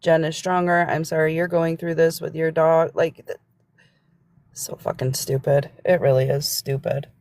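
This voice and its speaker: Low-voiced, earnest lecturing woman. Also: little pitch movement, normal speed, darkish tone, no room sound. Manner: earnest, lecturing, searching for words. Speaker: livestream guest. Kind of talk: casual livestream chat.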